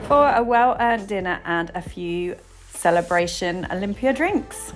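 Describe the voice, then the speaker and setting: A woman from England narrates her vlog in a lowish, chatty voice, upbeat and a touch breathless. She sounds a little bunged up. Music plays clearly under her voice. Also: animated, a little slow. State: stuffy, bunged up. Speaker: vlogger and nail educator.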